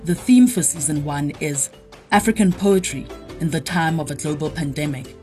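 A woman host reading the scripted introduction of a podcast in a clear announcing manner. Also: low voice, normal speed, dark tone, faint music behind. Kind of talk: scripted narration.

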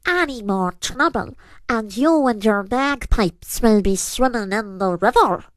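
A woman's voice: high voice, slow pace, no room sound, voice pushed up. A woman voicing a mischievous, bossy male shapeshifting fairy creature with a snarling manner in a storybook tale. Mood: threatening. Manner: snarling, commanding.

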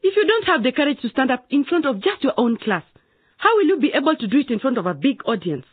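A woman's voice: high voice, very animated, quick pace, slightly rough, no room sound, narrow, tinny audio, full character voice. An actress playing a stern but encouraging schoolteacher in a radio drama, questioning and commanding her class. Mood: stern, challenging.

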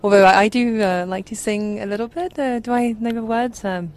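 A woman from Uganda, a journalist, chatting casually with lots of fillers while slightly hoarse from a cold. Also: fairly high pitch, fairly quick. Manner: warm, chatty, many fillers and restarts. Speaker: journalist guest. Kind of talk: casual chat.

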